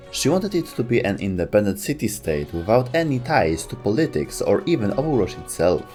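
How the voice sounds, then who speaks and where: Low-voiced man narrating, lively intonation. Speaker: narrator of a video game lore explainer. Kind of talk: scripted narration. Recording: music under the voice. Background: music.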